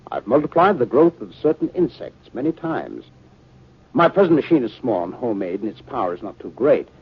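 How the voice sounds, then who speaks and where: An actor playing an earnest, respectful young scientist explaining himself in 1950s radio drama style. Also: male voice, medium pitch, sing-song, fairly quick, husky, exaggerated voice. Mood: eager, earnest. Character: earnest, deferential young male scientist inventor. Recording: muffled 1950s radio recording.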